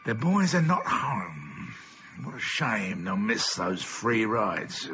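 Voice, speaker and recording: An actor playing a sinister carnival master in a radio play, menacing and tempting, with a theatrical, taunting delivery. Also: male voice, on the low side, big pitch swings, slow, pushed and bright, a little hiss or hum, full character voice. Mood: mock courteous. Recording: dull lo-fi radio play, faint music.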